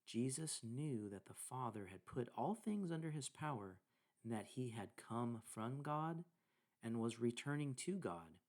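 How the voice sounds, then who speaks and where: A man leading a guided meditation, speaking deliberately in short phrases with pauses between them. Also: mid-range voice, expressive, darkish tone. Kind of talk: guided meditation narration with scripture reading.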